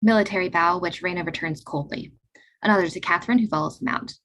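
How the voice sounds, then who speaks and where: A woman reading stage directions aloud in a play reading over a video call, in a brisk, even narrating manner. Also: lowish voice.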